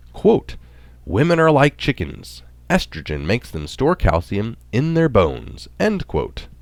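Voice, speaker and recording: Low-voiced man reading, very animated intonation. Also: no echo. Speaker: reader of a health article. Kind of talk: scripted article narration, read aloud.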